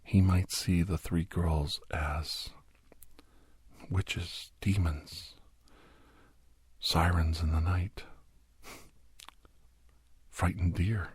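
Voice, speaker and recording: Man playing a hushed, husky, haunted old-soldier doctor. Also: sits low, steady tone, no echo, heavily acted. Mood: fearful, haunted. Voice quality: breathy, husky. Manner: slow, halting, hushed, often slipping into whisper. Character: grave, haunted male doctor, war veteran, recounting horrors. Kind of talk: ASMR horror audio drama narration.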